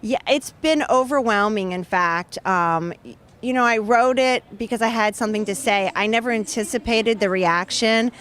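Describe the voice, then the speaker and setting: A woman's voice: on the high side, moderate pace, fairly bright tone. A woman in her thirties, an author talking earnestly and fluently in an interview at an outdoor event. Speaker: author and guest. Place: outdoor charity race booth area.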